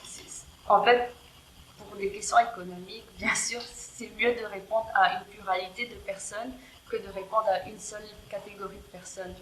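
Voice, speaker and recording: A woman's voice: medium pitch, fairly even intonation, normal speed, a bit bright. A woman who is not a native French speaker, talking casually with many fillers and restarts in an interview. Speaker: guest, EDI worker in tech. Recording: roomy with faint background noise.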